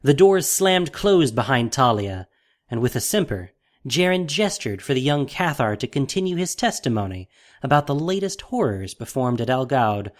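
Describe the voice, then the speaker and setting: A man narrating a scripted audio drama in an expressive, theatrical manner. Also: mid-range voice, moderate pace.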